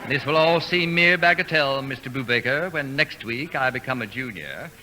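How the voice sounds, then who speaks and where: An actor playing a pompous, dry-witted older student in an old radio comedy, clipped and superior. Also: male voice, mid-range voice, lively intonation, normal speed, pushed and bright, slight background noise, poor audio. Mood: smug. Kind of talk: 1950s radio comedy-drama acting.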